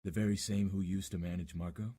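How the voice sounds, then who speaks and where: A man's voice: sits low, steady tone, brisk, dark tone. A man playing a blunt, pressing, world-weary police detective in the style of a 1940s radio drama.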